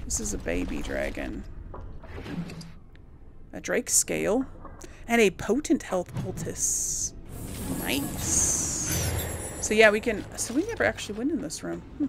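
A woman's voice: medium pitch, huge pitch swings, measured pace, gravelly, long pauses, noisy background. A woman giving casual gameplay commentary, thinking aloud in trailing fragments over game music. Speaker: gameplay host.

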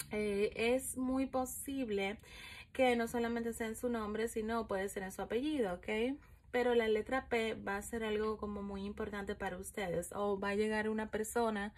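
A chatty woman reads fortunes from a coffee cup at a home altar. Her voice sits at a middle pitch, loose and conversational, with many fillers and "¿ok?" at the end of her points. She is recorded on a phone held over the table. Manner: chatty, many fillers, frequent "¿ok?" check-ins. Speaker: coffee-ground and tarot reader. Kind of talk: casual spiritual reading monologue. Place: home room with candles and an altar, indoors. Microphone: phone camera over the table, speaker off screen.

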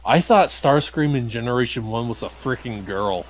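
A man's voice: mid-range voice, animated, normal speed, slight background noise. A man giving casual, wry let's play commentary as he hosts a playthrough. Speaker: gamer hosting a playthrough.

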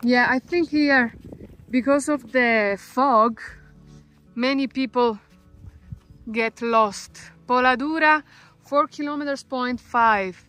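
A woman vlogger with a fairly high voice talks casually on a mountain trail. She is recorded on a camera outdoors, with some music underneath. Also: slow pace, fairly bright tone. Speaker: travel vlogger, hiker. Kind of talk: casual chat while hiking.

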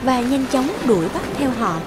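A woman's voice: high voice, lively intonation, moderate pace, faint music behind, poor audio. Woman narrating a fairy tale.

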